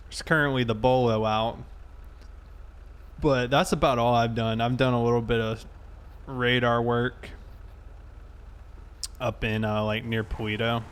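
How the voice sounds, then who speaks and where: A man chatting casually and thinking aloud in a halting way as he livestreams a police roleplay game. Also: medium pitch, clear, resonant tone.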